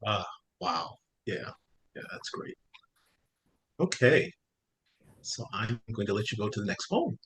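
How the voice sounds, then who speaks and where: A man hosting an informal literary interview as an editor, speaking haltingly and repeating words as he frames his thoughts. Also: fairly low pitch, very animated, a bit bright, a little hiss or hum. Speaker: host and journal co-editor interviewing poets.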